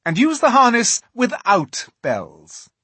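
A cruel, commanding witch queen, as a storyteller puts her on, barks out orders in a high, loud, husky voice. She speaks with haughty menace. The recording is dry and close. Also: wildly swooping pitch, slow pace, dry recording, heavily acted. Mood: imperious. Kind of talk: audiobook character voice.

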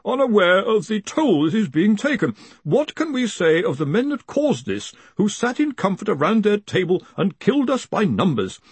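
A man voicing a nervous, dogged wizard professor who reads aloud haltingly in an audiobook. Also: high voice, very animated, slightly rough, pitched above the performer's own voice, full character voice. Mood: strained, pressing on. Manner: hesitant, stammering. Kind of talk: audiobook character acting.

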